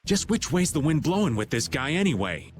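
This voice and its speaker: A man's voice: a little high, sing-song, fairly quick, slight background noise. An actor playing a laid-back, wry male adventurer in a video game, speaking casually. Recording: music under the dialogue.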